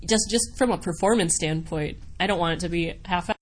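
A woman's voice: fairly low pitch, fairly quick. An American woman giving casual interview answers as a voice actor, chatty and rambling, with a giggly, self-deprecating way of talking. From United States (Wisconsin). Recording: noticeable background noise.

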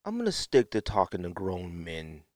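A man with an American accent giving opinionated solo podcast talk in a blunt, emphatic way, with drawn-out pauses and repeated phrases. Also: sits low, wildly swooping pitch, a little mellow, no echo, clean studio sound. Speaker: podcast host.

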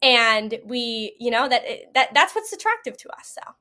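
A woman's voice: fairly high pitch, sing-song, moderate pace, pushed and bright. A young woman giving a conversational eyewitness account as an interview guest, speaking earnestly with frequent "you know" asides. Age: young adult. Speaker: interview guest, World Youth Day attendee.

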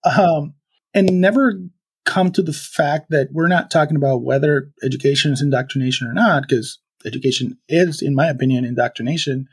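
A man from Venezuela speaking as a podcast guest, thoughtful and halting, with many fillers and restarts. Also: fairly high pitch, steady tone, breathy edge. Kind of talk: reflective conversational interview.

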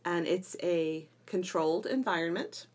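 A woman's voice: lowish voice, unhurried, darkish tone. A middle-aged woman talking conversationally as a podcast co-host, explaining things with emphatic phrasing. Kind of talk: conversational educational podcast discussion.

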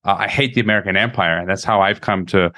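A man from Croatia, hosting an interview in a measured, hesitant way. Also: low voice, bright, forceful tone, dry recording, clean studio sound. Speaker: interview host. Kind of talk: conversational interview.

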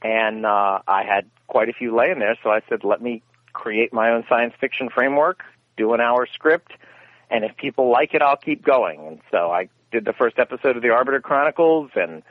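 A man's voice: medium pitch, normal speed, dry recording. Rambling man on a tinny phone line. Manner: rambling, self-correcting storyteller. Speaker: guest, radio theater founder. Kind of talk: conversational interview answers.

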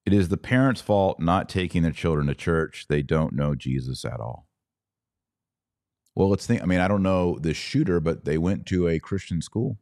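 A man with a low-pitched voice speaks as a podcast host and commentator. He is didactic and emphatic, talking conversationally to his audience and pausing deliberately to land his points. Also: expressive, darkish tone.

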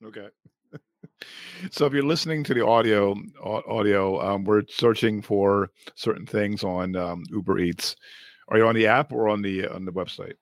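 A man's voice: on the low side, normal speed, no room sound. A man co-hosting a casual talk show, breaking into laughter again and again. He stumbles, trails off and restarts his thoughts, and his voice sits close and dry on the microphone. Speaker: podcast co-host. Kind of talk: casual chat.

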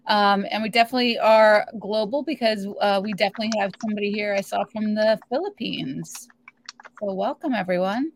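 A woman's voice: mid-range voice, slight background noise. A woman hosting an online webinar, giving friendly welcomes and steadily reading out the meeting rules and the speaker's introduction. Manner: friendly, reads announcements steadily. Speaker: webinar host.

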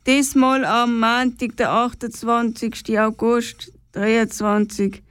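Even, clear Swiss German woman host. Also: fairly high pitch, slow pace, no room sound. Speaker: radio host. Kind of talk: scripted show introduction.

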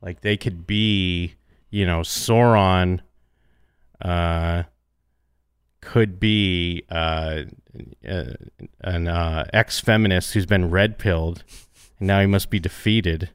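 A man pitching story ideas in a casual chat, animated and halting with many fillers. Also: clean tone, no echo, clean studio sound. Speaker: co-writer brainstorming a story. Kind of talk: casual creative brainstorming chat.

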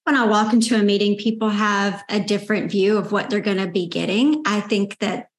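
A woman's voice: mid-range voice, fairly even intonation, a little fast, roomy sound. A woman with a Southern accent and a sweet-toned voice, telling her story as a guest in a casual interview, candid and determined. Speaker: guest, founder and CEO.

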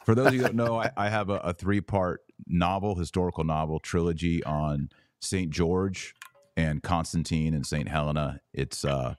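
A man with a low voice hosts a podcast in a casual, conversational style. He sounds informal and enthusiastic. The sound is dry, with very little room on it. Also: fairly even intonation, normal speed, dry recording. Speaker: podcast host. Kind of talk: casual chat.

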